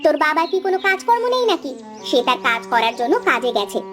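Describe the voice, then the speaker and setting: A woman's voice: high voice, sing-song, fast talker, over music. Exasperated, nagging cartoon mother played by a woman. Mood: exasperated, scolding. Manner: impatient, nagging. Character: exasperated, scolding village mother. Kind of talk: acted dialogue in a moral-story cartoon.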